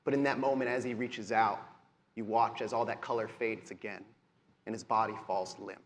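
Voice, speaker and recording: A man game-mastering a live tabletop game, narrating in deliberate, dramatic phrases. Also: on the low side, steady tone. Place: live convention stage show.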